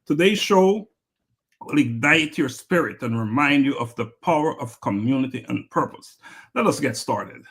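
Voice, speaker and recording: A man's voice: mid-range voice, expressive, normal speed, fairly bright tone, slightly rough. A man hosting an inspirational interview podcast, introducing guests with emphatic, rising energy. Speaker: podcast host.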